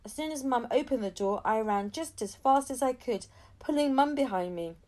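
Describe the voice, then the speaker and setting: A woman reading a children's novel aloud as an expressive storyteller. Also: fairly high pitch, moderate pace. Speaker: audiobook reader.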